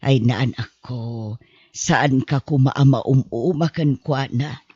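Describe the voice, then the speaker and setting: A husky older mother played by a woman in a radio drama, gently pleading and worried about her grown son. The sound is dry and a little muffled. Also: rumbling low, takes it slowly, dry recording, heavily acted. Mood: worried, sighing. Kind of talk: Ilocano radio drama acting. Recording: muffled dry close recording.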